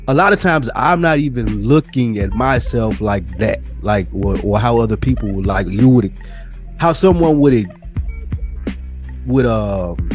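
A man in candid, casual podcast conversation, swearing freely in slang and repeating himself for emphasis. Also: on the low side. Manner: slangy, profane, emphatic repetition. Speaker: podcast co-host.

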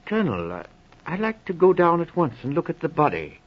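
A dull vintage radio recording of an inquisitive doctor. His fairly high, husky voice swoops widely as he speaks hesitantly. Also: huge pitch swings, fairly quick, heavily acted. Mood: puzzled. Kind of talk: old-time radio drama acting. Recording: muffled old 1940s radio recording.

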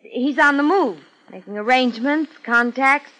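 An actress playing an intense, defensive young beauty contestant in a 1950s radio drama, with a theatrical, coy delivery. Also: female voice, high-pitched, normal speed, bright, forceful tone, poor audio. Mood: evasive.

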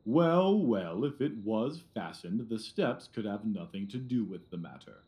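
A man's voice: on the low side, expressive, mellow. A man playing a pompous, self-satisfied middle-aged police detective in an audio drama, blustering and condescending with emphatic repetitions. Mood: self-important musing. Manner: blustering, condescending, repeats himself, emphatic pauses.

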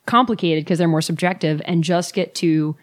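A woman co-hosting a casual interview, talking in a measured, thoughtful and somewhat wordy way. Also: on the low side. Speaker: co-host interviewing a guest.

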